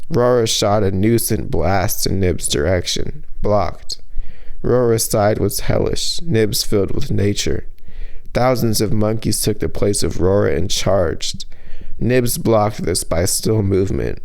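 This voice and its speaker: A man narrates scripted fantasy fiction in a lowish, creaky voice. He reads very slowly, in short, measured phrases. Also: a little mellow, low-quality recording. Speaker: author reading own audiobook.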